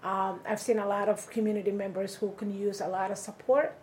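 A woman's voice: mid-range voice, little pitch movement, brisk, a little mellow, a little hiss or hum. A middle-aged woman from Somalia, interviewed for an oral history, telling her story in a conversational way that livens up when she quotes people.

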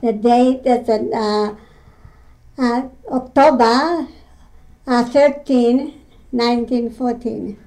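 A woman in her nineties from Greece with a Greek accent, recounting her mill work in an oral history interview at home, halting with many fillers and simple non-native grammar. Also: on the high side, steady tone, dark tone. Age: 90s. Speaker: interviewee, retired mill weaver.

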